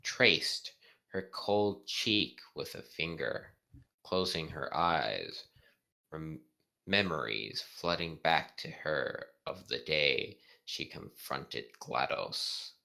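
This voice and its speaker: Male amateur co-reader, low voice, unhurried and stumbling, casual banter between passages. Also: lively intonation, creaky, bright, forceful tone. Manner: halting, stumbling over words. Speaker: co-host reading fan fiction aloud.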